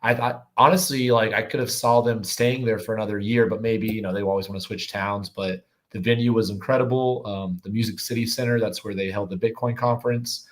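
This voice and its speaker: A man with a slightly hoarse voice from yelling, chatting casually and enthusiastically in slangy speech as a guest on a livestream. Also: lowish voice, steady tone, a little fast, hardly any pauses. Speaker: guest on a live show.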